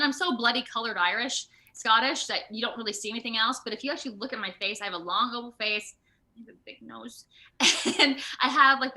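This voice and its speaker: A woman giving a casual interview as a guest actor, expressive and giggly, acting out her anecdotes dramatically. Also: medium pitch. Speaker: interview guest, video game voice and motion capture actor. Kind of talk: casual animated interview chat.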